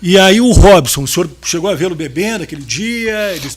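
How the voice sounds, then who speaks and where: Husky man questioning a witness in court. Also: high-pitched, animated, fairly quick. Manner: formal and methodical, with short quiet acknowledgments. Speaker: questioner at a jury trial.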